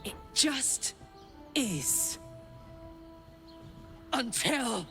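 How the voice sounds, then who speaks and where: Raw, bitter warrior woman, high-pitched. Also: very animated, drawn-out words, gravelly, a bit bright, soft background music, exaggerated voice. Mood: hurt, bitter. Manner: blunt, sardonic, sweary, emotionally raw. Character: fierce betrayed warrior woman, dying, bitter and blunt. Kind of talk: dramatic video game acting.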